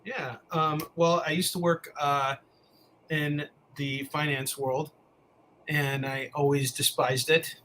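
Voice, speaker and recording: Earnest, bright-voiced male guest from the Chicago area. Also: fairly high pitch, fairly even intonation, slow, a little hiss or hum, poor audio. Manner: friendly, earnest, frequent ums and uhs. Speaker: guest comics creator. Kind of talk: casual interview chat.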